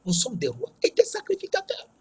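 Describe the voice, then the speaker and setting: Husky West African French preacher, male. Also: extremely high pitch, huge pitch swings, fairly quick, a little mellow. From Togo. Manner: emphatic, repeats key phrases. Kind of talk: sermon.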